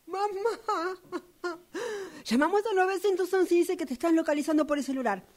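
A woman's voice: very high-pitched, moderate pace, a little mellow. A woman playing a frantic, bossy grown daughter with an Argentine accent in an amateur radio drama, urgent and demanding. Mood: anxious, reassuring.

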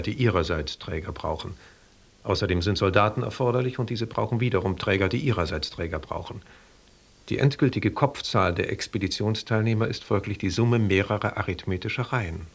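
Lowish-voiced, pedantic expedition man. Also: gravelly, husky. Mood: dry, deliberate. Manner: formal, deliberate, pedantic. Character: inquisitive, precise expedition member with dry logic. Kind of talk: German radio drama acting.

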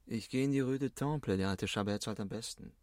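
A man's voice: mid-range voice, brisk, darkish tone, no room sound, natural voice. An actor playing a jaded, aloof con man in a 1920s radio play, speaking in terse, cool phrases. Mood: cool, indifferent.

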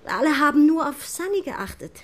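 A woman's voice: sits high, big pitch swings, fairly quick. An actress playing a frightened teenage girl in a crime radio drama, confessing in a hesitant, anxious manner. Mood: confessing, subdued.

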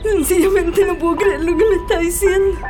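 A woman's voice: very high voice, fairly even intonation, brisk, music in the background. An actress playing a distraught, grieving woman in a radio drama, tearful and pleading, with a Chilean accent. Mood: anguished, crying. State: crying.

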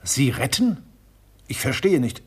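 A man's voice: mid-range voice, huge pitch swings, unhurried, husky, heavily acted. An actor playing a pompous, misanthropic male antique collector in a radio drama. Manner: pompous, disdainful. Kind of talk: German radio play acting.

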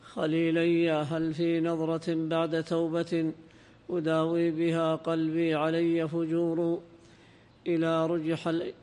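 A man reading a classical religious text aloud as a student in a lesson, in a formal, measured, half-chanted recitation. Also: fairly high pitch, completely flat delivery, a little mellow. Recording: long hall-like reverb.

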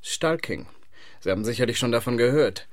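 Actor as lively, businesslike studio man. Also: mid-range voice, fairly quick, dry recording, lightly acted. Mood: guarded. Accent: Swiss. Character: guarded, shrewd male recording studio operator. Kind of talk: radio play dialogue.

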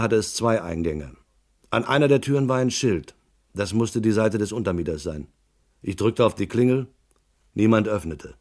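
Low-voiced, laconic hard-boiled detective played by a man. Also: darkish tone, close to an everyday voice. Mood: sober, grim. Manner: laconic, dry, sardonic. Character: wry, skeptical male private detective telling his case. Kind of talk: radio drama narration and dialogue, hard-boiled crime.

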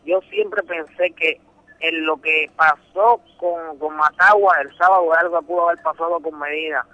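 A man's voice: fairly high pitch, fairly even intonation, moderate pace, bright, forceful tone, no echo, lo-fi sound. A young Puerto Rican man speaking Spanish with a Puerto Rican accent, a boxing champion answering a radio interview in an earnest, emphatic way, often repeating words. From Puerto Rico.

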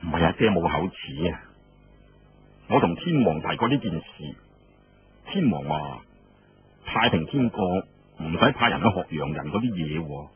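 A man voicing a stern, preoccupied middle-aged rebel king and father in an audio story, speaking curtly and gravely. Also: low-pitched, animated, normal speed, pauses often, voice pushed down. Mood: grave, dismissive. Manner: curt, grave, commanding. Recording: dull lo-fi audio, faint noise.

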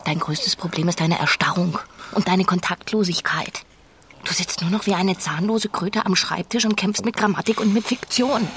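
An actress playing a reproachful, idealistic wife in a naturalistic radio drama, speaking in an insistent, moralising way. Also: female voice, lowish voice, normal speed, fairly bright tone, slight background noise. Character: reproachful, idealistic wife pressing her listless husband.

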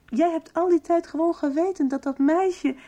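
A woman's voice: extremely high pitch, normal speed, dark tone. A young woman acting an upset, accusing teenage daughter in a radio soap. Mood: angry, accusing. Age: young adult.

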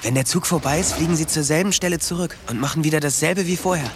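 Teenage bird fanatic acted by a man, dreamy, intense, radio drama, clear noise of pigeons and clicks behind. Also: on the high side, fast, lightly acted. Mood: eager, explaining. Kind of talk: German radio drama acting. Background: pigeons cooing, clicks.

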